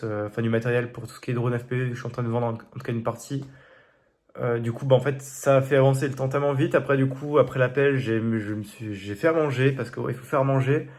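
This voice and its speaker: A man's voice: mid-range voice, a little mellow. Young man vlogging with a head cold. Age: young adult. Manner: rambling, many fillers and restarts. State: congested with a cold. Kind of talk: casual vlog diary. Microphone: phone held facing him.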